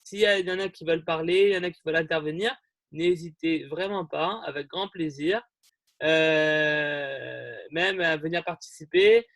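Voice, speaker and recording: A young man leads an online group gathering, talking over a video call. His voice sits a little high and the sound is dry and close. He rambles in a friendly way, full of fillers and restarts. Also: normal speed, creaky, fairly bright tone, dry recording. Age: young adult. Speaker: youth group leader hosting an online meeting.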